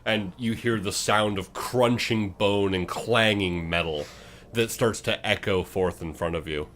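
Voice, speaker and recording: A man's voice: fairly low pitch, a little slow, a bit bright. A man working as game master, improvising dramatic, descriptive narration for a fantasy tabletop game.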